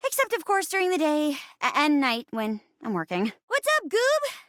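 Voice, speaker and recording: A high-voiced young actress chair come to life for a video game. She is earnest and casual, with a very animated, swooping delivery. The sound is close and dry. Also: no room sound, heavily acted. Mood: cheerful, friendly. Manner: animated, earnest, casual, halting when uncomfortable. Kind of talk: video game character dialogue.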